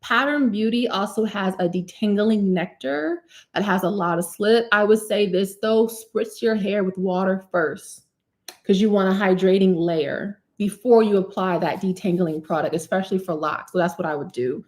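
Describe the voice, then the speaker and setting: A woman in her thirties hosting a live hair care Q&A, chatty and animated, calling viewers honey and sis. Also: mid-range voice, normal speed. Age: 30s. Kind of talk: live stream Q&A, casual chat.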